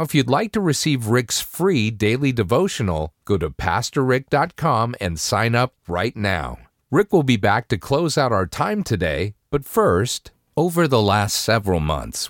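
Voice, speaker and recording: A man's voice: lowish voice, no echo, clean studio sound. An American man reading a scripted broadcast announcement and appeal in a polished, courteous way. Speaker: radio programme announcer.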